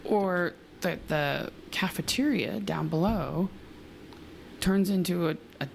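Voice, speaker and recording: A hoarse woman co-hosts a podcast in loose, casual chat. Her low voice is gravelly with fry, and she laughs often and trails off mid-thought. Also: slow pace, slight background noise, rough recording. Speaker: podcast co-host.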